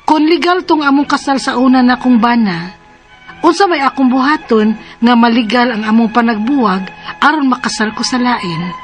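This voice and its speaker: A woman's voice: on the high side, moderate pace, lightly acted. A woman acting a troubled separated mother who is pregnant and wants to remarry, in Cebuano-accented radio drama. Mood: earnest, worried. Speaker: radio drama lead and letter narrator. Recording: music playing behind the voice.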